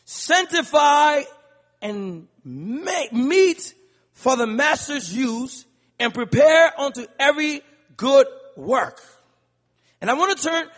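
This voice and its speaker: A man from Liberia preaching a sermon in a large church, calling to the congregation and rising into shouts. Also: very high-pitched. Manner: rhythmic call-and-response preaching, building to shouts. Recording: long church reverb.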